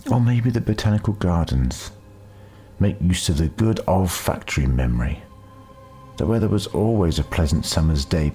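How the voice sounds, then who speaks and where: A man reading scripted story narration in a measured, deliberate way, with dramatic pauses. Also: low-pitched, mellow. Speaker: audiobook narrator.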